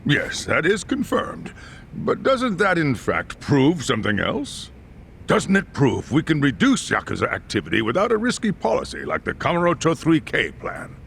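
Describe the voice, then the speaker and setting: An actor playing a probing, skeptical political interviewer who puts pointed questions in a game's broadcast scene. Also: male voice, on the high side, wildly swooping pitch, moderate pace, creaky, fairly bright tone, slight background noise, exaggerated voice. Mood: challenging, pointed. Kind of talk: broadcast interview in a game cutscene.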